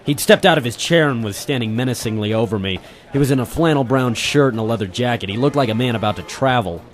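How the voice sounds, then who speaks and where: A man's voice: medium pitch, quick pace, close to an everyday voice. A man playing a persistent, wry ex-Marine private eye in an old-style detective radio drama, hardboiled and informal, narrating and talking in character. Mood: brooding. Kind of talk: 1940s detective radio drama, first-person narration and dialogue.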